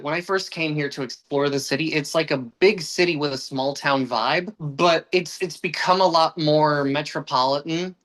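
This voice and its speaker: A man's voice: fairly high pitch, pushed and bright, low-quality recording. A young man from the United States, a podcast guest who talks earnestly with frequent restarts and uneven pacing. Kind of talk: casual podcast conversation.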